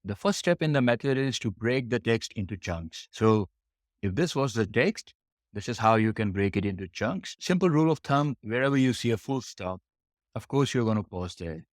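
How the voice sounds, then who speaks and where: A man's voice: medium pitch, lively intonation, moderate pace, dry recording, clean studio sound. A man teaching a test preparation lesson, explaining step by step and repeating phrases for emphasis. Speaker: English test preparation instructor.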